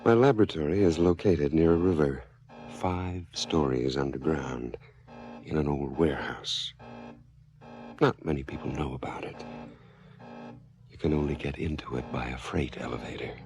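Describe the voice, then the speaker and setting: Very deep, gravelly, brooding ex-professor. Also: male voice, normal speed, long pauses, a little mellow. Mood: brooding, confessional. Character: Doc, weary disgraced ex-professor turned sinister inventor. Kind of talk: noir radio drama narration and dialogue. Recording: 1970s stereo radio drama, music underneath. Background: music.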